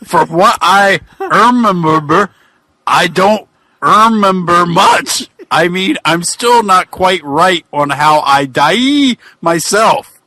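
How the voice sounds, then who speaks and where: Guy putting on a dopey folksy ghost wrestler, rambles along slow, voice swings all over, comedy fanfic reading. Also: high-pitched, expressive, sharp tone, no echo, exaggerated voice. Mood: rambling, folksy. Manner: rambling, bumbling, colloquial delivery. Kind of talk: comic dramatic reading of fan fiction.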